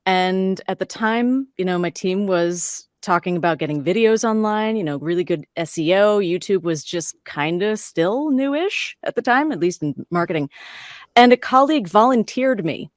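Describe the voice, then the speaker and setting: A woman's voice: mid-range voice, lively intonation, normal speed, dry recording, studio quality. A woman voice actor casually telling a personal story with a wry, self-amused manner. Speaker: voice actor, panel guest.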